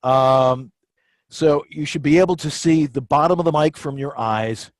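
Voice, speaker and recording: A man's voice: fairly high pitch, a little slow, no room sound, low-quality recording. A man giving informal, hands-on voiceover instruction in an emphatic, didactic and casually folksy way.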